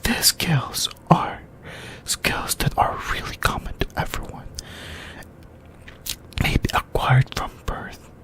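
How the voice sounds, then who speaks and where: Slow, whispering ASMR host. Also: a bit bright. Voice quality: breathy unvoiced whisper. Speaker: host of an anime discussion video.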